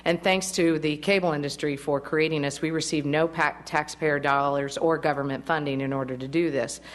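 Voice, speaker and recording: Low-pitched woman at a council meeting mic, friendly, laughs a lot. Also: fairly even intonation, normal speed. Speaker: network representative. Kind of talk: public remarks at a meeting. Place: council chamber. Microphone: podium microphone.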